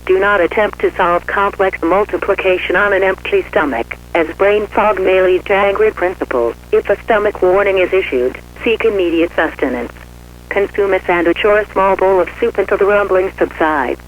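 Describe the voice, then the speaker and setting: A man with a high voice reads an official emergency bulletin in a formal, measured delivery. The audio is dull and radio-like, with a faint hum of noise behind the words. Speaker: emergency alert announcer. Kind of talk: scripted emergency weather bulletin.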